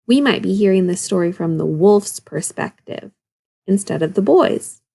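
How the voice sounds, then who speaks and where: A woman's voice: mid-range voice, animated, moderate pace, vocal fry, warm tone, slightly rough. A woman teaching second graders, explaining and addressing the pupils directly during a read-aloud lesson. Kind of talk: instructional read-aloud for young children.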